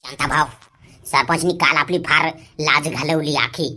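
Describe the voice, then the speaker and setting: Man acting cheeky cartoon sidekick over loud music. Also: fairly high pitch, steady tone, moderate pace, close to an everyday voice. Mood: questioning. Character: cheeky, reluctant village sidekick who talks back. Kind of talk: comic cartoon dialogue. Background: very loud background music.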